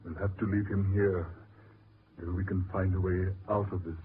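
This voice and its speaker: An actor playing a practical, level-headed man with a measured, sober delivery in 1940s radio horror style. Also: sits low, flat delivery, close to an everyday voice. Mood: resolute. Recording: tinny, noisy 1940s radio recording.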